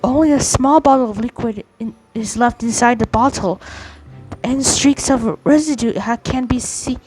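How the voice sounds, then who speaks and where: A woman with a fairly high voice reads game text aloud during a playthrough. She goes haltingly, tripping over words, with faint music in the background. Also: darkish tone, poor audio. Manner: halting, stumbling read-aloud. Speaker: gameplay streamer.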